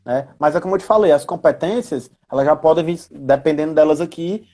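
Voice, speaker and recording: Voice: mid-range voice, brisk, warm tone, faint music behind. A Brazilian speaker explaining things as a guest expert in a casual live-stream discussion, in an emphatic, didactic way that draws out key words. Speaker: guest expert on role-playing games in education.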